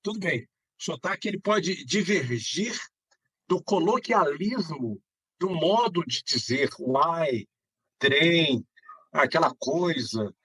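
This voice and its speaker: Male podcast guest, Minas Gerais Brazilian accent. Slightly husky, low-key dry sound, mid pitch, chatty and meandering. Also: expressive, slow, a bit bright, no room sound, rough recording. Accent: Brazilian Portuguese, Minas Gerais. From Belo Horizonte, Brazil. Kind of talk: casual conversational interview answers.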